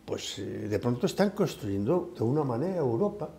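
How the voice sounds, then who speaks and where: An older man speaks in a warm, mellow voice that swings widely in pitch. He talks in a thoughtful, conversational way, pausing often to search for words, like a retired professor sharing his views. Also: very animated. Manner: thoughtful, with frequent fillers and hesitations. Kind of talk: conversational discussion.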